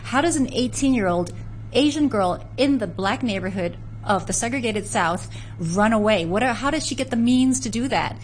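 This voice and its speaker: A woman's voice: a little high, expressive, moderate pace, slight background noise, poor audio. A woman from San Francisco, a guest in an interview, telling family history in an earnest, storytelling way with frequent restarts. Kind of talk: conversational interview.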